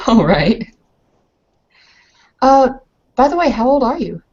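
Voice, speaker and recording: A woman's voice: high-pitched, very animated, takes it slowly, a little mellow, slightly rough, lo-fi sound. A woman casually roleplaying as a player in a tabletop game session.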